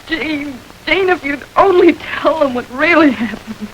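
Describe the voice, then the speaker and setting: A woman's voice: sits high, expressive, unhurried, rough recording. An actress playing a frightened, pleading young woman in a 1940s radio drama. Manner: pleading, earnest. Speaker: Annabelle, accused girl. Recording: hissy old radio recording.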